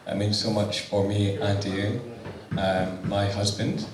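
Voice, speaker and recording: A man with a husky voice giving an unprepared wedding thank-you speech as the groom, hesitant with many ums. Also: fairly low pitch, level tone, slow pace, background noise. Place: wedding reception hall with guests. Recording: echoey hall, distant mic, loud crowd.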